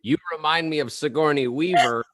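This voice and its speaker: A man's voice: a little high, brisk, natural voice. A man playing a chatty, showy older employee telling a jokey anecdote in a sitcom table read, on a noisy line with clicks. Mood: boastful, amused.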